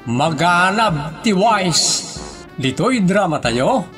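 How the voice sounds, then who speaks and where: A man's voice: high-pitched, animated, very slow, fairly bright tone, soft background music. A man with a northern Philippine accent giving a scripted, emphatic, theatrical introduction as a drama announcer.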